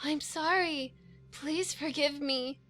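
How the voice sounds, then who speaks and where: A woman's voice: high-pitched, slow, a bit bright. A woman playing a defiant, desperate young angel in an anime visual novel, with dramatic delivery. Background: background music.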